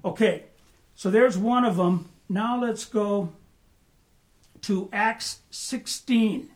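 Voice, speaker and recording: Unhurried preacher, chatty Bible-study delivery, checks in with "right?". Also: medium pitch. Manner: conversational, asks the room "right?" and "amen?". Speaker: pastor. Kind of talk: sermon, Bible study teaching.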